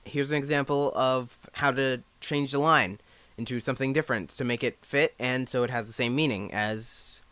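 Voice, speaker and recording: A young man with a clear, smooth voice at an average pitch walks through a how-to in casual, informal language. The sound is dry and close, with no room on it. He is self-deprecating and speaks as an amateur helping beginners. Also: moderate pace, sharp tone, no room sound. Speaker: amateur fan dub creator giving a tutorial. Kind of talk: casual spoken tutorial.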